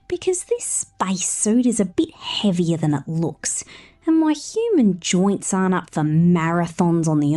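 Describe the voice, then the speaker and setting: A woman performing an ASMR roleplay as a possessive, teasing space mechanic with a condescending maternal streak, speaking softly and often slipping into breathy whispers. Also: fairly low pitch.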